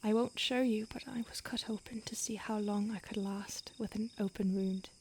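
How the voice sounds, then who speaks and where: A woman's voice: medium pitch, steady tone, moderate pace, darkish tone, a little hiss or hum, natural voice. A woman voicing an ancient female vampire on the run, speaking in an urgent whisper in an audio roleplay. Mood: hushed urgent.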